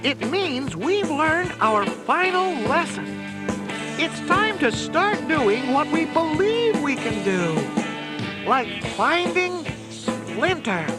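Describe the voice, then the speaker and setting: A man's voice: extremely high pitch, very animated, slow pace, pitched above the performer's own voice, full character voice. A man voicing an earnest, commanding teenage turtle leader who speaks firmly and urgently in a children's audio storybook. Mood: resolute. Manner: firm, urging. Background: loud music.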